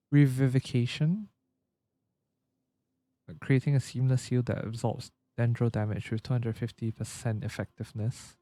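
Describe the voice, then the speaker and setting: A man's voice: medium pitch, warm tone. A man giving casual gameplay commentary, stumbling a little as he reads game text aloud, with music behind him. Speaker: livestreamer.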